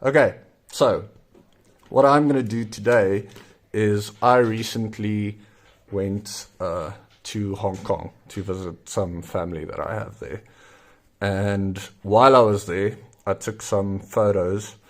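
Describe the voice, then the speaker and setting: Slow, deep-voiced South African man. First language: Afrikaans. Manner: relaxed, hesitant with many ums and uhs. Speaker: zine maker. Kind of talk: casual tutorial.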